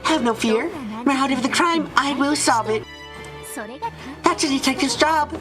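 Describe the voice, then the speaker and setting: High-voiced, self-assured young detective girl played by a woman. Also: normal speed, natural voice. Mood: confident. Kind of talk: anime-style visual novel fan dub. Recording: music behind the voice.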